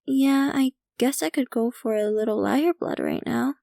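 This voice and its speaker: A woman acts out a possessive yandere vampire girlfriend in an ASMR roleplay. Her voice is on the high side with plenty of fry in it, and she takes her time, doting and sinister at once. The sound is close and very dry. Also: mellow, no room sound. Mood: doting, playful. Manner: unhurried, doting yet possessive, casually sinister. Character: possessive centuries-old yandere vampire girlfriend, sweetly menacing. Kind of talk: ASMR roleplay, intimate scripted monologue to the listener.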